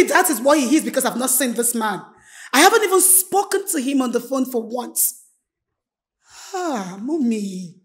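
A woman's voice: sits high, darkish tone. A young woman playing a desperate, defiant daughter with a Nigerian accent in an emotional film drama. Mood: pleading. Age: young adult. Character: desperate, defiant young daughter married off against her will. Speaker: actress.

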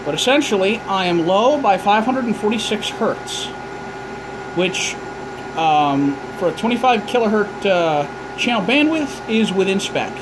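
Man explaining gear over loud equipment noise. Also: sits high, big pitch swings, a little slow. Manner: technical, explanatory, thinking aloud. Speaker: amateur radio hobbyist giving an equipment tour. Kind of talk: casual hands-on demonstration narration. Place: small indoor equipment room with radio racks. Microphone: handheld camera or phone held by speaker.

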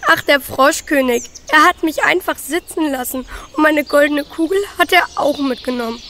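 A woman's voice: high-pitched, moderate pace, bright, forceful tone, slight background noise. A woman voicing a young princess who wails over her lost golden ball in a children's radio play. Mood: weepy. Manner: wailing, dramatic. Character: weepy then furious young princess at the well.

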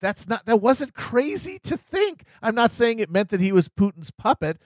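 A man's voice: extremely high pitch, sing-song, moderate pace, darkish tone, no room sound, studio quality. An American man co-hosting a podcast, riffing wryly in casual political comedy chat. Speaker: podcast co-host.

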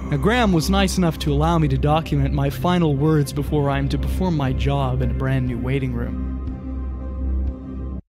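A man's voice: a little high, lightly acted. A man playing a bewildered, wry television reporter caught up in a darkly comic horror audio drama. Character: bewildered male TV reporter trapped in horror, wryly resigned.

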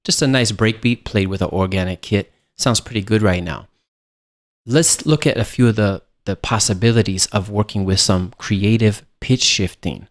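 Low-voiced man teaching music software. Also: normal speed. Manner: casual, explanatory. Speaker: music production instructor. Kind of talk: software tutorial narration.

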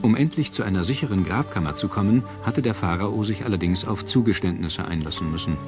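A man reading scripted documentary narration in a measured, formal manner. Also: deep voice. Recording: music bed under narration. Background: background music.

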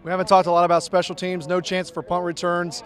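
A man's voice: high voice, little pitch movement, fast talker, a crowd in the background. A young man, a sports broadcaster, leading a postgame sideline interview outdoors with a handheld mic, in upbeat play-by-play phrasing. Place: outdoors on a football field.

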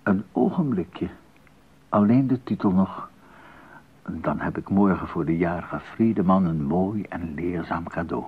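A man's voice: sits low, a little slow, husky, narrow, tinny audio, full character voice. An actor playing a devoted, enthusiastic baroque composer and family man in a radio drama, speaking earnestly and courteously. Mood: calm, absorbed. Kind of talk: 1980s radio drama acting.

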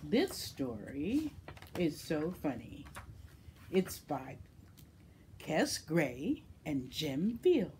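A middle-aged woman reading picture books aloud to children in an expressive, animated storytelling style. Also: deep voice, extremely slow, long pauses, slight background noise. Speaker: library storytime reader.

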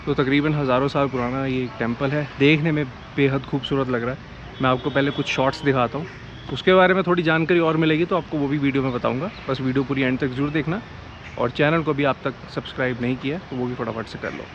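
A man's voice: medium pitch, fast, lo-fi sound, background noise. A man in his forties filming a casual travel vlog at outdoor ruins, talking to a handheld camera and slipping English words into his Hindi. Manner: chatty, mixes English into his Hindi, says "yaar" often. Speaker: travel vlogger. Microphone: handheld camera at arm's length.

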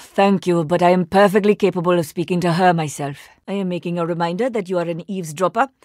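A woman's voice: on the low side, fast talker, a little mellow, no echo, clean studio sound, lightly acted. An actress playing a proud, poised Indian woman agent in a video game, with an Indian accent and formal, precise, dryly sarcastic phrasing. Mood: dry, sardonic. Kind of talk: video game character voice lines.

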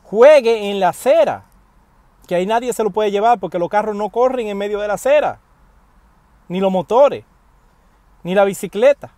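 Animated man talking from his car. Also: high-pitched, normal speed, pauses often, no echo. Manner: animated, addresses viewers directly, emphatic pleas. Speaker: news commentator. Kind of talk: casual news commentary to camera. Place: inside a parked car. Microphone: wired earphone mic.

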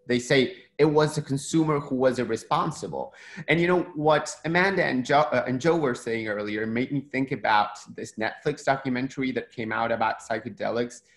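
A man's voice: mid-range voice, a little fast. A man in his thirties from Argentina moderating a panel over a video call, talking casually and asking questions with many fillers. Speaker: webinar moderator.

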